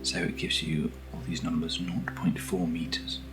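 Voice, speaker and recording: A British man speaking softly and breathily in casual ASMR style, unhurried and gently rambling as he hosts. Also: rumbling low, flat delivery. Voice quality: breathy, whispery. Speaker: host of ASMR unboxing videos. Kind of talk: soft-spoken ASMR, casual chat. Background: underlying music.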